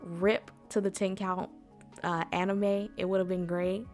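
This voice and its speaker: A woman's voice: medium pitch, level tone, measured pace, a little mellow, soft background music. A woman hosting an informal explainer talk in a chatty, conversational way, speaking directly to her listeners. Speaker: convention panel host.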